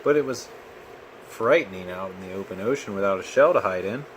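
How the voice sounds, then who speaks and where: Low-voiced man reading a children's storybook. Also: expressive, a little fast, a little hiss or hum, poor audio. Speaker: children's book reader. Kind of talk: storybook read-aloud to a child.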